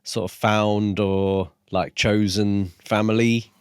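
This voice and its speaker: A man's voice: lowish voice, fairly even intonation, slow, clear, resonant tone, no room sound, studio quality. A man co-hosting a book review podcast, recapping and discussing a novel. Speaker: podcast co-host.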